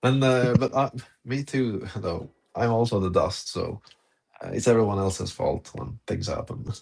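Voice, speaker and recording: A man's voice: lowish voice, measured pace, gravelly, a little mellow. A man hosting a casual live audio-room podcast chat, laid-back and chuckling between phrases. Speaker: podcast host.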